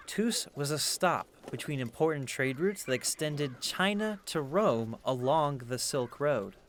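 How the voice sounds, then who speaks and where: A man presenting and narrating a scripted documentary with a measured storyteller's delivery. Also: medium pitch, fairly bright tone.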